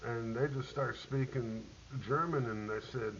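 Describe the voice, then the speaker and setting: A middle-aged man, a military veteran, telling a rambling personal war story, halting with many ums and restarts. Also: medium pitch. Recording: dull lo-fi audio with faint hiss.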